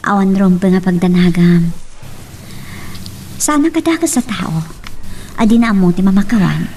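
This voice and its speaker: A woman playing a forgiving, heartbroken young woman in a romance drama, speaking in an earnest, measured, pleading way over faint background noise. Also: mid-range voice, expressive, mellow, close to an everyday voice. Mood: gentle, hurt. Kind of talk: Ilocano radio-style romance drama acting.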